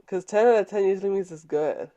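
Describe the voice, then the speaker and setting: A man's voice: high-pitched, warm tone. A young man co-hosting a casual podcast, telling stories with frequent laughter. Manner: giggly, storytelling, quick to laugh. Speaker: podcast co-host. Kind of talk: casual chat.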